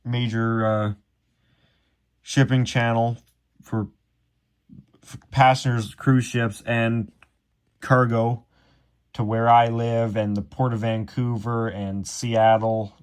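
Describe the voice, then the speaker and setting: A man's voice: mid-range voice, flat delivery, takes it slowly, long pauses, clean tone. A man giving a casual, off-the-cuff explanation in a rambling, candid, self-deprecating way. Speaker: hobbyist video presenter.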